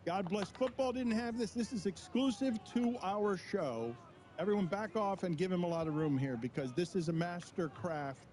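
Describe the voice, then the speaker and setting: A man's voice: high-pitched, moderate pace, darkish tone. A man hosting a sports talk show, building up hype in a theatrical way. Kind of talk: studio talk show chat. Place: studio.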